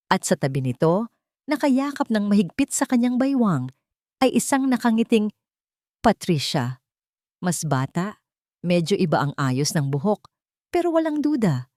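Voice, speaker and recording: A woman reading a dramatic romance story in a suspenseful, deliberate way. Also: fairly low pitch, darkish tone, no room sound, clean studio sound. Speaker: story narrator.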